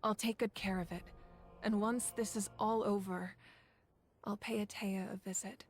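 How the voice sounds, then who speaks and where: A woman playing a proud fiery ruler, calm, steady, resolute, mid-pitched game voice. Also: moderate pace, faint music behind, natural voice. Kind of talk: video game voice acting.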